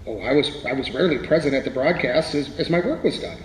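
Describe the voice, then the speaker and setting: A man's voice: fairly high pitch, fast, natural voice. Man playing an erudite writer, echoey hall. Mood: wry, modest. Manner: hesitant starts, literary, storytelling. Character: erudite, wry male radio playwright being interviewed. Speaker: interview guest. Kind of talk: scripted interview reminiscence in radio drama. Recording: echoey hall, faint background noise.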